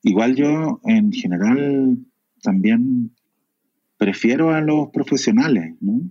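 A Chilean novelist in his forties with a Chilean Spanish accent, speaking thoughtfully, with many pauses and fillers. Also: mid-range voice, lively intonation, dark tone, no echo, lo-fi sound. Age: 40s. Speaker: novelist guest. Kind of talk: reflective conversation.

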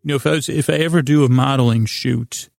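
A man with a creaky voice hosting a bedtime podcast, meandering hesitantly in a soothing, reassuring way and often trailing off mid-sentence. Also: steady tone, quick pace, darkish tone. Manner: soothing, low-pressure reassurance. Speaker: host of a bedtime podcast for sleep. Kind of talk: rambling, meandering bedtime monologue.

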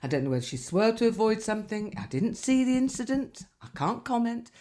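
A woman's voice: on the high side, big pitch swings, a little fast, warm tone. A woman, a podcast co-host telling rambling, sweary anecdotes in casual chat, her nose slightly stuffy.

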